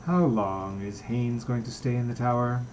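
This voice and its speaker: A man's voice: mid-range voice, normal speed, a little mellow, slight background noise, lightly acted. A man reading a story aloud, voicing a weary, displeased and uneasy young man who speaks earnestly and guardedly. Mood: quiet.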